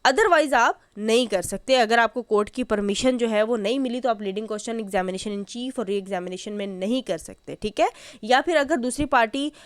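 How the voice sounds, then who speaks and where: A woman with a Pakistani accent teaches in a fairly high voice, captured dry and close without room sound. She explains step by step like a lecturer, repeating key points and checking that listeners follow. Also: no room sound. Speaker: law lecturer. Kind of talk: explanatory lecture mixing Urdu and English.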